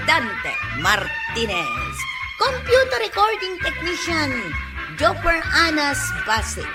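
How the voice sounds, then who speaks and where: A woman announcing a radio drama with theatrical, emphatic scripted delivery. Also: very high voice. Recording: loud music under the voice. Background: loud theme music.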